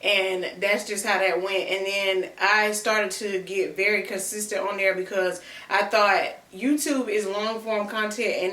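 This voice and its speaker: Woman, 30s, bright-voiced casual vlogger, talks from a few feet off in an echoey room. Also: mid-range voice, distant mic. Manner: chatty, answers read-out questions, y'all and girl slang. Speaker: content creator. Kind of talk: casual Q&A chat to camera. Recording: echoey room, mic set back.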